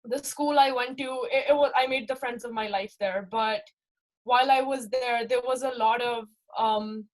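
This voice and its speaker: A woman's voice: on the high side, fairly even intonation, normal speed, rough recording. A young woman from Pakistan with a light Pakistani accent, reflecting candidly in a casual talk and often asking "right?" as she thinks aloud. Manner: reflective, with many fillers and rhetorical "right?". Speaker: interviewee. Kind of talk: casual personal account.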